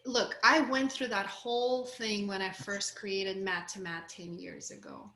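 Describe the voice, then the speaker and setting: A woman's voice: medium pitch, fairly even intonation, unhurried, pushed and bright, echoey room. A woman with a Russian accent coaching a group on a video call, candid and encouraging. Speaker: course coach.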